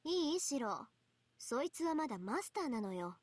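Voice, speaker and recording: A woman's voice: sits high, big pitch swings, fast, dry recording. A woman playing a confident, tart teenage girl mage with a lecturing manner in an anime visual novel. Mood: assertive.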